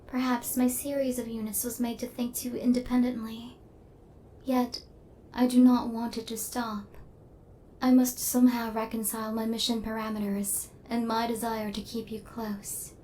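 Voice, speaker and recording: A woman plays a female robot hunter in an intimate ASMR roleplay. Her voice sits fairly high and stays very level, with stiff, formal wording. She is coldly logical, yet possessive and tender toward her human target. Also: normal speed, a little hiss or hum, natural voice. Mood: hesitant, conflicted. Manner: formal, clipped machine-like phrasing, deadpan.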